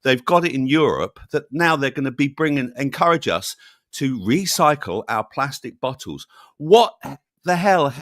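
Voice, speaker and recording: A man's voice: medium pitch, lively intonation, moderate pace, a bit bright, no echo. Rambling man, dry sound. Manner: rambling, many fillers and restarts; jokey. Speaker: channel host, guest. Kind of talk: casual interview chat.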